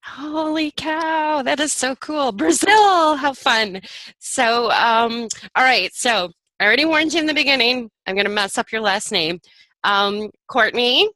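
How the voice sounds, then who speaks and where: A bubbly woman from Ohio hosting a podcast interview. Her voice sits high and bright, with pitch that bounces all over. The sound is dry and close. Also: dry recording, rough recording. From Ohio, USA. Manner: bubbly, laughs often, quick exclamations. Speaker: podcast host. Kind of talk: casual interview chat.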